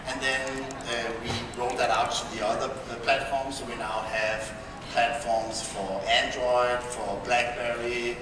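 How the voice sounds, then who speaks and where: A man with a Danish accent speaking conversationally as a tech founder on a panel, halting at times. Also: mid-range voice, little pitch movement. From Denmark. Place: conference panel stage in a large hall. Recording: very echoey hall, distant mic, room noise.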